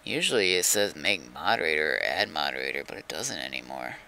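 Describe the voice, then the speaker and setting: A man's voice: rumbling low, sing-song, a little fast, creaky, sharp tone, a little hiss or hum. A man chatting casually on a gaming livestream, rambling and thinking aloud as he goes.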